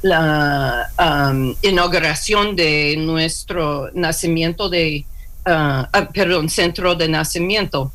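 Slow, hesitant woman searching for words. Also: sits low, a bit bright. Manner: hesitant, many fillers and restarts, searching for words. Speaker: interview guest, clinic worker. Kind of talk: halting conversational interview.

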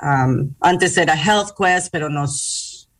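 Slow, halting bilingual woman in an interview. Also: deep voice. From New York, United States. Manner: halting, searching for words in Spanish, slips into English words. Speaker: hospital public relations manager, interview guest. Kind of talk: interview answers.

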